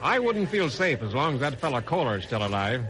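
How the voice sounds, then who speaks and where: A man's voice: mid-range voice, big pitch swings, brisk, fairly bright tone. An actor playing an ordinary, cautious milkman in an old radio drama, hesitant and plain-spoken. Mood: wary, friendly. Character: folksy, cautious milkman, ordinary good-natured working man. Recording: vintage radio drama recording.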